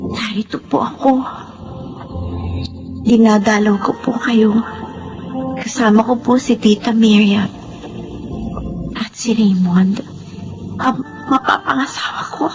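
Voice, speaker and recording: A woman playing a gentle, grieving but hopeful young orphaned Filipino woman in a drama, earnest and polite. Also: a little high, steady tone, slow pace, pauses often, rough recording. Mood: solemn, emotional. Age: young adult. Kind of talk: Filipino radio-style drama acting. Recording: music behind the voice.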